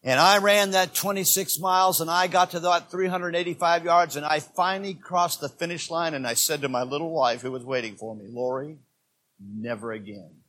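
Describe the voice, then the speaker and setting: A man in his sixties preaching a sermon as pastor, swinging between quiet asides and loud, emphatic preaching. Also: a little high, moderate pace. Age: 60s.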